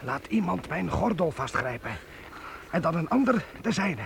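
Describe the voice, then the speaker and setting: A loyal, scrappy servant with a gravelly edge and a lively, rising pitch. Hiss hangs under the voice. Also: male voice, moderate pace. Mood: eager. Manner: eager, defiant. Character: loyal, hot-tempered young servant. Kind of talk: radio play acting. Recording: hissy old tape.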